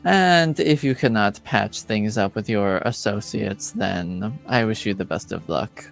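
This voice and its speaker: A man running a tabletop game as game master, narrating conversationally and often pausing to repeat or rephrase himself. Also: fairly low pitch, vocal fry, faint music behind.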